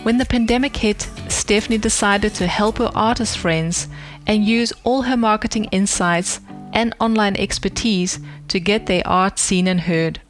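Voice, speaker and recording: A woman's voice: mid-range voice, moderate pace, soft background music. A woman hosting an art podcast, reading a scripted introduction and then asking interview questions in an encouraging, enthusiastic way. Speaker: podcast host.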